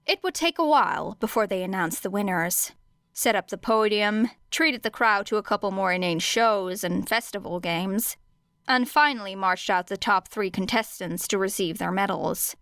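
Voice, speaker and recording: Clear, smooth female audiobook narrator. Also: medium pitch, moderate pace, a bit bright, no echo, studio quality. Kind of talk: scripted fiction narration.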